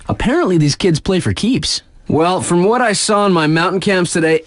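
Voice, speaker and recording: An actor playing a laid-back, wry radio DJ at a snowboard resort, chatting in slangy, conversational announcements for a game. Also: male voice, fairly high pitch, no room sound, studio quality. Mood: upbeat, wry.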